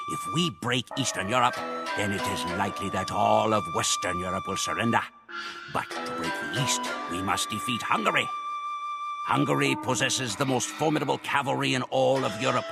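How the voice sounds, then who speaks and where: Gravelly, solemn Mongol warrior storyteller. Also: male voice, lowish voice, over loud music. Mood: grave, resolute. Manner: measured, theatrical storytelling delivery. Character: proud Mongol warrior recounting conquests, solemn and grandiose. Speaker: game campaign narrator. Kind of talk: dramatic scripted narration.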